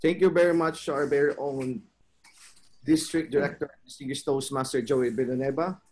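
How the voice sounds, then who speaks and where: A man's voice: mid-range voice, fairly even intonation, moderate pace, darkish tone, low-quality recording. A man who is club president, formally hosting and moving along an online meeting. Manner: formal, ceremonious emcee phrasing.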